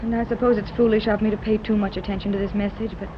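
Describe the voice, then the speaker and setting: A woman's voice: medium pitch, little pitch movement, racing, lightly acted. A woman playing a gracious, determined mother. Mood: gentle. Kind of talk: 1930s radio acting. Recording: noisy dull old radio recording.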